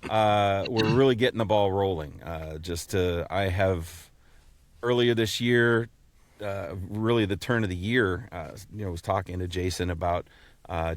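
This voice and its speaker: Halting, low-voiced male podcast host. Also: dry recording. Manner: halting, repeats words as he explains. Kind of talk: casual chat.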